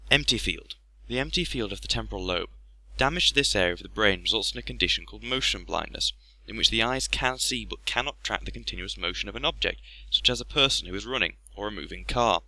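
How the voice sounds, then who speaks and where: A man with a very bright, sharp voice narrates scripted explanations. He talks fast, in a slightly low pitch. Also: lively intonation, gravelly, no echo, poor audio. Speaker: video narrator.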